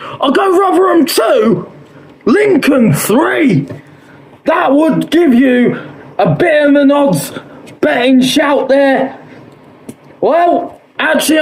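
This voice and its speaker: A man with a hoarse, fading voice hosts his own show, predicting football scores in a chatty, repetitive way and filling pauses with "uh". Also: very high-pitched, darkish tone, poor audio. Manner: chatty, quotes odds, repeats himself, frequent "uh".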